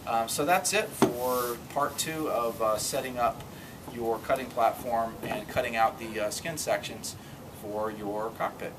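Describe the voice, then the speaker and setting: A man's voice: medium pitch, unhurried. A middle-aged man hosting a casual do-it-yourself demonstration outdoors, talking loosely with many fillers. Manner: loose and conversational, many ums and uhs. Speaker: DIY cockpit builder host. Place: outdoors by a garage door. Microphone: camera mic from a few metres. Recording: distant camera mic, audible ambient noise.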